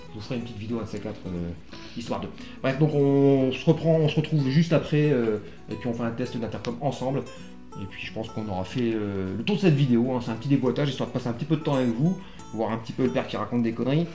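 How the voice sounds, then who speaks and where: A man with a mid-pitched voice presents gear to camera in a casual, digressive way. He bosses and teases with coarse humour. The room rings around his voice and music plays behind him. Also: darkish tone, reverberant. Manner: bossy, teasing, coarse joking. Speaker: vlog host. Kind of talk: casual motorcycle gear unboxing chat to camera.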